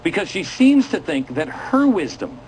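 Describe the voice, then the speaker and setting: Animated, indignant man hosting political commentary. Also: sits high, normal speed, slight background noise, poor audio. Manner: dramatic, indignant, sarcastic. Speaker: host of a political commentary show. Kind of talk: opinionated political commentary to viewers.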